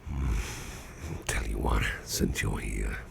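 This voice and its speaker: An actor playing a sickly, gruff but genial boss in an ASMR roleplay, musing slowly and haltingly in a half-whisper close to the microphone. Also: male voice, fairly even intonation, slight background noise, full character voice. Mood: weary, genial. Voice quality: very deep, gravelly, breathy half-whisper. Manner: slow, halting, folksy musing with pauses.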